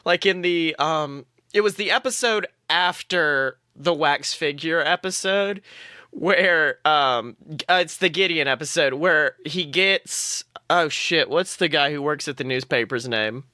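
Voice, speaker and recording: Guy on a podcast just chatting about tv, kinda high bright voice, swears a bit. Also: measured pace, no room sound, studio quality. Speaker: podcast co-host. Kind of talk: casual TV show discussion.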